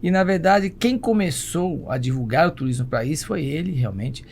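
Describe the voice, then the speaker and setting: A man's voice: a little high, lively intonation, normal speed, darkish tone. An older Brazilian man telling stories conversationally as a guest in an interview. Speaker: podcast guest, travel company executive. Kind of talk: conversational storytelling. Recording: clear background noise and ambience.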